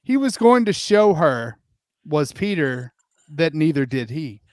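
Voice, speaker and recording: Male comic narrator, theatrical and sweary, slow deliberate storytelling, close dry sound. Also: on the high side, very animated, a little mellow, dry recording. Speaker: host and narrator. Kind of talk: comic dramatic storybook reading with asides.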